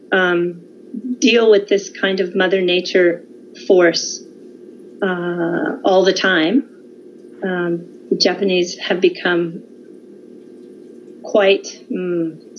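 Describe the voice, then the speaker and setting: A woman's voice: lowish voice, fairly even intonation, a little hiss or hum. Woman on dull lo-fi audio. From California, United States. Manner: measured, informative, with frequent um and uh pauses. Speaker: guest. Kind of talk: conversational interview.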